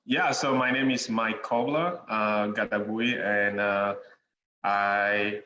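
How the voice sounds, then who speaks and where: A young man with a Ghanaian accent, a podcast guest chatting in a relaxed way, with many "you know" fillers and frequent chuckles. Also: lowish voice, slow pace. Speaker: guest, real estate investor. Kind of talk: casual interview conversation. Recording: dull lo-fi sound in an echoey room with faint noise.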